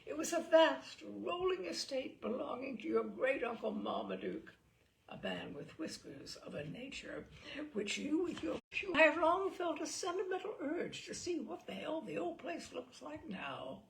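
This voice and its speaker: A jaunty old English lord in a comic storybook, played by a woman. He is grand and mischievous, rolling his words with relish in a lively, swooping delivery. The voice sits fairly high and has a creaky edge. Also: measured pace, slight background noise, exaggerated voice, poor audio. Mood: jaunty, nostalgic. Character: jaunty, mischievous sixty-ish English lord, an incorrigible uncle.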